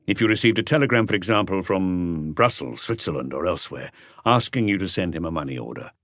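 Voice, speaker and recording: A male reader acts a middle-aged police inspector from a mystery audiobook. He is low in pitch, patient and probing, asking things in a calm, restrained way, recorded dry and close. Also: no echo. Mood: calm, measured. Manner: measured, restrained questioning.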